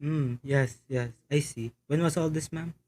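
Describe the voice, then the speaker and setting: A woman's voice: low-pitched, measured pace, darkish tone. A woman playing a laconic, indifferent police sergeant in an amateur radio play, terse and disengaged. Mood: indifferent, routine.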